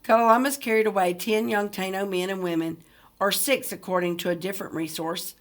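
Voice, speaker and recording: A woman teacher reading a book aloud to students, speaking directly to them as if leading a discussion. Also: medium pitch. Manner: addresses listeners directly with questions. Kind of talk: read-aloud lesson with discussion questions.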